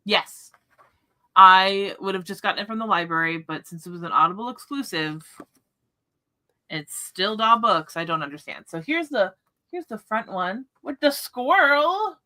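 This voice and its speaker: Bright-voiced woman hosting a book chat. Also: medium pitch, animated, normal speed. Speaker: livestream book discussion host.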